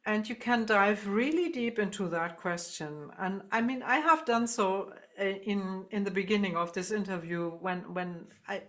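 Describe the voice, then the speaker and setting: A woman musician being interviewed, explaining her ideas reflectively and haltingly as she searches for words. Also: medium pitch, lively intonation, a bit bright.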